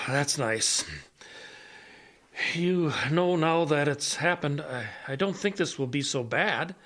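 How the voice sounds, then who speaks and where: Male actor as older blinded major, radio drama, slightly high pitch, very lively intonation, halting, excitable, hopeful. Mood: wistful, rambling. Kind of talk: audio drama acting.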